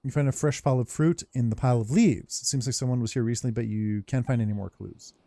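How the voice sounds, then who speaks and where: A man's voice: medium pitch, fast talker, vocal fry, warm tone, no echo. A man hosting a game playthrough, reading on-screen text aloud with hesitant, muttered reactions. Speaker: gaming video host.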